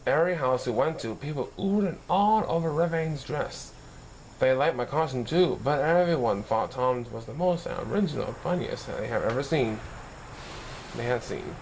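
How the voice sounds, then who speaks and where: Creaky-voiced man reading a story aloud. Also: mid-range voice, animated, moderate pace, darkish tone, slight background noise, rough recording. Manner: occasional stumbles and self-corrections while reading. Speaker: story reader. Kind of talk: read-aloud children's story narration.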